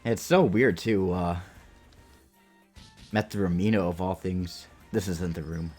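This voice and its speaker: Man, late twenties, low-pitched, slightly rough voice, casual game streamer, rambling playful chat, music behind. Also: unhurried, a little mellow. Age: late 20s. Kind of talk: casual live gaming commentary and chat.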